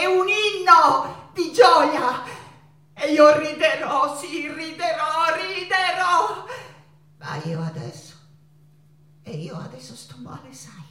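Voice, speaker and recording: An actress playing an ailing, feverish woman painter who pleads with a friend in halting, theatrical phrases in a stage monologue. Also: sits high, sharp tone. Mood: defiant joy, then weary, suffering. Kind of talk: stage monologue over guitar. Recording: roomy stage, distant mic, guitar behind.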